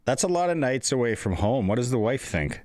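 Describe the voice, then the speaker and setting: A man's voice: mid-range voice, very animated, fairly quick, a little mellow, dry recording. A middle-aged man with a Canadian accent, interviewing a guest in casual, informal sports chat.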